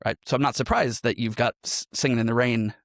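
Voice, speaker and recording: Male podcast guest, medium pitch, explanatory and conversational, very dry recording. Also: steady tone, quick pace, vocal fry, dry recording. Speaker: interview guest, improv musical performer.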